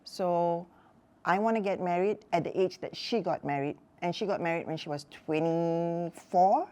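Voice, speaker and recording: A woman in her sixties, a mother on a talk show, telling stories from her life in a relaxed, chatty way with many fillers. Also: lowish voice, normal speed. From Malaysia. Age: 60s. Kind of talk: casual chat.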